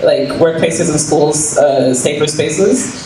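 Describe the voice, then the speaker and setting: Higher-voiced man in an echoey room. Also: slow pace, a crowd in the background. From Canada. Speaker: panelist, equity education consultant. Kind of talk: informal self-introduction at a panel. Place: indoor public panel event. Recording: echoey room, distant mic, noisy.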